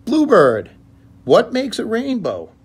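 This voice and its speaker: A man's voice: high-pitched, wildly swooping pitch, slow, pitched above the performer's own voice, heavily acted. A man voicing a curious young rabbit from a picture book, asking eager questions in an emphatic sing-song way. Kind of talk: children's picture book read-aloud. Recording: clear background room noise, phone recording.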